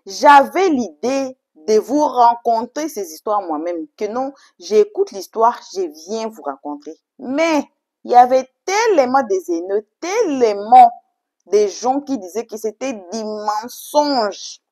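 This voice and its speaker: A woman's voice: a little high, expressive, slow, darkish tone. A woman whose first language is English, chatting to viewers as a channel host in an emphatic, repetitive way. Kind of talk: casual, chatty introduction to story videos.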